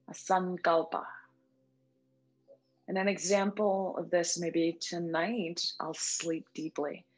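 A woman with a slightly low voice leads a guided relaxation as a yoga instructor. She speaks gently and soothingly, leaving long, unhurried pauses. Faint music plays behind her. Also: poor audio. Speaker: yoga nidra meditation instructor. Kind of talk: guided meditation. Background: background music.

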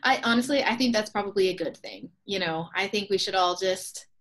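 A woman's voice: medium pitch, a little fast, a bit bright. A young woman hosting a casual video call interview, upbeat and chatty, often laughing between thoughts. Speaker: host, expo co-owner.

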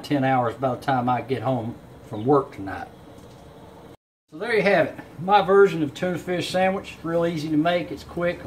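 A man, a home cook casually talking through a recipe in a folksy, unhurried way. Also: a little high, a little mellow, poor audio. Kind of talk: casual cooking demonstration. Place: home kitchen, indoors. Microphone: camera on counter a short way off. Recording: clear room noise in a kitchen.

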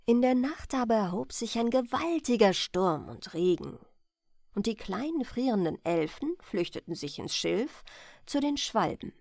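A woman reading a bedtime fairy tale as scripted audiobook narration, in an expressive, deliberate storytelling manner. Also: fairly high pitch, gravelly, dry recording, clean studio sound. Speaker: audiobook reader.

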